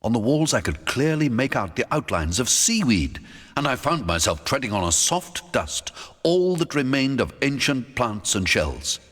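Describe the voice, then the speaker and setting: A man narrating a scripted first-person adventure audiobook in a dramatic, measured storytelling manner. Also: mid-range voice, very animated, fairly bright tone. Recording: long hall-like reverb.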